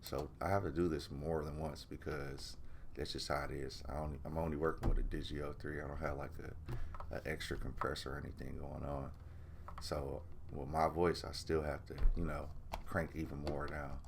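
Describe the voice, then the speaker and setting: A man with a deep voice talking through a vocal mix in a laid-back, rambling way, full of fillers, from his home studio. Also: little pitch movement, normal speed, vocal fry, background noise. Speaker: music producer teaching vocal mixing. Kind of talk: casual screen-recorded tutorial.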